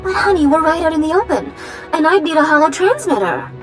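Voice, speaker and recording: High, sugary hologram companion. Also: female voice, very animated, fast talker, faint music behind. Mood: coy protest. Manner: sugary, breezy, playful. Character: flirty, playful female holographic computer companion. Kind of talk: video game voice acting.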